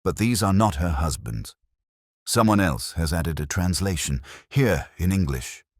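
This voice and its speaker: A man reads as a Victorian detective in an audiobook, cool and commanding. The voice is extremely low and a little rough, making precise, theatrical pronouncements in a dry, close recording. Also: expressive, dry recording. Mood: grave, deliberate. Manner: cold, deliberate, theatrical pronouncements.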